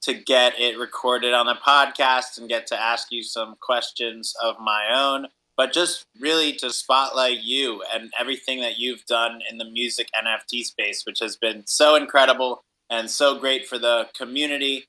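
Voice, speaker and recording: A man with a very bright, punchy voice hosts a podcast. He is enthusiastic and upbeat, talking in a casual, slangy way as he addresses guests and listeners. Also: medium pitch, steady tone, moderate pace, few pauses, low-quality recording. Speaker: podcast host.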